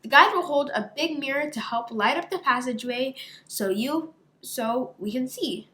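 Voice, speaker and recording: A woman reading a brisk, orderly female ibis schoolteacher in a storybook, instructing and directing as if to a class. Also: high voice, animated, pushed and bright, reverberant. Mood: directing, announcing. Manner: clear, instructive classroom address.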